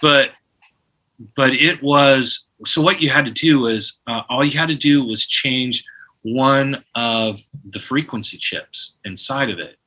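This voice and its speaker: A man in his forties tells his story in a podcast interview, his tone very bright and forward. He talks in an animated way, restarting his sentences, and imitates phone beeps. His pitch sits in the middle range. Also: slow pace, poor audio. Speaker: guest musician.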